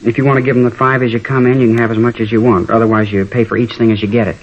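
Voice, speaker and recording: An actor playing a troubled, hesitant high school boy who cooperates as a witness in a 1950s radio drama. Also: little pitch movement, racing, lightly acted. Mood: forthcoming. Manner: hesitant, slangy. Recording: muffled vintage radio recording.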